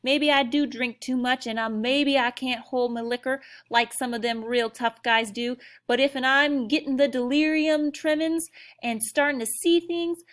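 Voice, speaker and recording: A woman voicing a tiny gruff hard-drinking cowboy man with a Texas accent, blustering and drawling. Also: high voice, fairly even intonation, normal speed, hardly any pauses, a bit bright, pitched above the performer's own voice. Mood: self-pitying.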